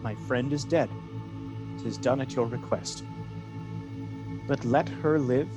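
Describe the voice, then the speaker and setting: An actor playing a scheming villain who poses as a loyal soldier, speaking Shakespearean verse with deliberate, solemn devotion in a radio play. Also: male voice, medium pitch, darkish tone, soft background music, natural voice. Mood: hushed devotion.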